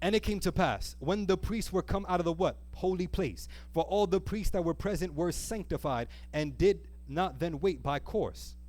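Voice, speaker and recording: A man's voice: high voice, moderate pace, hardly any pauses, a little mellow. A man preaching an earnest sermon, reading scripture and putting rhetorical questions to listeners, stretching key phrases for emphasis. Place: live church service.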